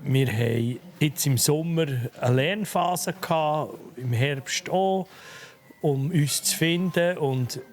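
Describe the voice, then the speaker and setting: A man in his fifties with a Swiss German accent, a restaurant leaseholder giving measured, businesslike interview answers. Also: fairly high pitch. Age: 50s. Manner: measured, businesslike, with slips of word order.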